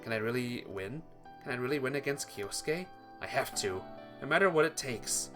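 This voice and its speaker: A man's voice: mid-range voice, expressive, moderate pace, music in the background. A man reading visual novel text aloud as dramatic, earnest, emotive story narration for a playthrough.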